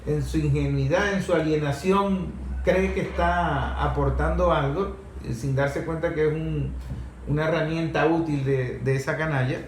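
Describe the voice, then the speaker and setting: A man's voice: on the high side, fairly even intonation, normal speed. A man hosting a discussion programme, explaining his views emphatically and repeating phrases for weight. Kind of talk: opinionated talk show discussion. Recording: echoey room with faint background noise.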